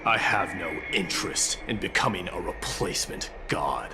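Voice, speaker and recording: An actor voicing a proud, stern, wrathful warrior in a fantasy game, with a haughty manner. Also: male voice, sits low, animated, normal speed. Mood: proud, grim. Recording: game music behind the voice.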